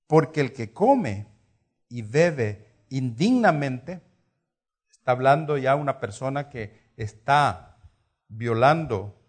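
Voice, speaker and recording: Slow-talking Salvadoran preacher man. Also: medium pitch. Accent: Salvadoran Spanish. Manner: didactic, poses rhetorical questions and repeats phrases for emphasis. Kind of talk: conversational sermon with scripture teaching.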